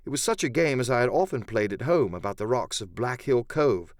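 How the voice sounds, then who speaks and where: A man's voice: mid-range voice, expressive, a little fast, dry recording, clean studio sound. A man narrating an adventure audiobook in the first person, with theatrical, literary storytelling.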